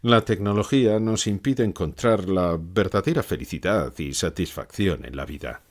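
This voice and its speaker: A man's voice: low-pitched, normal speed. A man playing a gloomy old philosopher who gives grave, pessimistic answers in a scripted interview.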